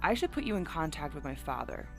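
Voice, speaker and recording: A woman's voice: sits low, big pitch swings, fast talker, gravelly, soft background music, full character voice. A woman playing an intelligent, curious, coy engineer in an audio drama, articulate and well-spoken. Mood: friendly.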